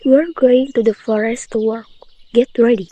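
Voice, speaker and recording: A woman's voice: fairly high pitch, steady tone, moderate pace, warm tone, close to an everyday voice. A woman voicing a harsh, cold-hearted stepmother in a storybook fairy tale, speaking curtly and scoldingly. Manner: curt, scolding.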